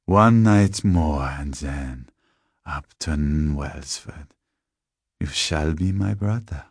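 A man voicing a tall, sardonic male vampire in a gothic horror story, slow and deliberate with soft, seductive menace. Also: a bass voice, gravelly, husky, dry recording, clean studio sound, exaggerated voice. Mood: soft, sinister. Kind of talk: gothic horror audiobook character.

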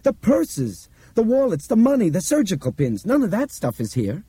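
A man voicing an earnest young man in an audiobook, excitable and easily awed. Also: on the low side, moderate pace, creaky, slightly rough, no room sound, voice pushed up, heavily acted. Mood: excited realisation. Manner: excited, eager.

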